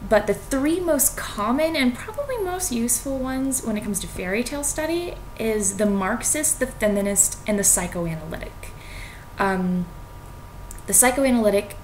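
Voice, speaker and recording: A woman's voice: mid-range voice, rough recording. Young woman explaining casually over background noise. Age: young adult. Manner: conversational, self-deprecating, colloquial asides. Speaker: student making educational videos. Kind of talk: informal explanatory talk to viewers.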